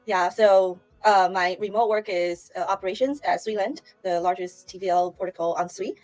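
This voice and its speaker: A woman's voice: medium pitch, moderate pace, darkish tone, rough recording. A woman from Taiwan, a guest on a livestream interview, chatting casually with many fillers and restarts over a remote call mic.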